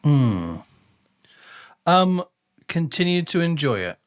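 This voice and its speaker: A man's voice: fairly high pitch, sing-song, takes it slowly. A man co-hosting a podcast, casually discussing a book and rephrasing as he thinks aloud. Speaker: podcast co-host.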